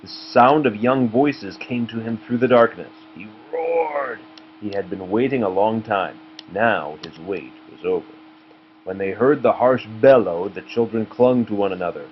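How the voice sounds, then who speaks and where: Male storybook reader, parent reading to child, lower pitch, muffled home recording. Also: animated, unhurried, a little hiss or hum. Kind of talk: storybook read-aloud narration.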